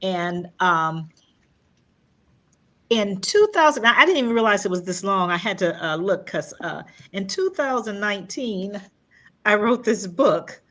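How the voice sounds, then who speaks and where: Bright-voiced woman in her sixties. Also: medium pitch, lively intonation. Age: 60s. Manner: halting, many fillers and restarts. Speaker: guest. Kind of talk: casual podcast chat. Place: home room.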